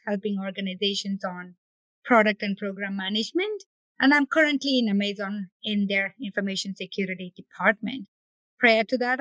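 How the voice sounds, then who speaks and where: A woman's voice: mid-range voice, a bit bright, no echo, poor audio. Middle-aged woman guest, dry recording. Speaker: panel guest, security specialist. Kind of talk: self-introduction on a panel. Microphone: video call.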